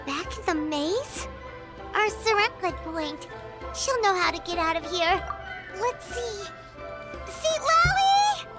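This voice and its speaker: Plucky tiny fairy companion for an anime-style game: extremely high, squeaky, childlike, drawn-out delivery. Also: female voice, big pitch swings, music in the background, full character voice. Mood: worried, curious.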